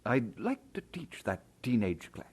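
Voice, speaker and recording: Radio-drama pastor and husband: mid-pitched, slightly rough voice, lively intonation, sincere and warm. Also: male voice, unhurried, heavily acted. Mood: earnest, concerned. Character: Peter, earnest, warm Scottish-born pastor and husband.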